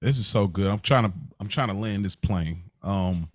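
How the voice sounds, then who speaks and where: A man's voice: on the low side, normal speed, no room sound. An American man chatting as a podcast co-host, informal and slangy, thinking things through aloud. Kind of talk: casual conversational podcast chat.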